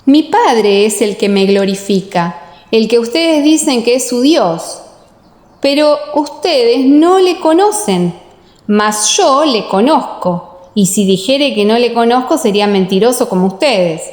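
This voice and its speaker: A woman voicing a solemn, assured male religious teacher with measured, emphatic delivery in a dramatized audiobook. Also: a little high, lively intonation, a little mellow, reverberant, in a large hall. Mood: solemn, firm. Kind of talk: audiobook radio-theatre dialogue.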